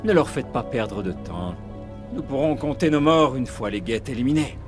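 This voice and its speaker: A man's voice: medium pitch, animated, moderate pace, music in the background, lightly acted. A man playing a cold, impatient male corporate official in a video game, curt and haughty. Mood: curt, dismissive. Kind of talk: French video game dub.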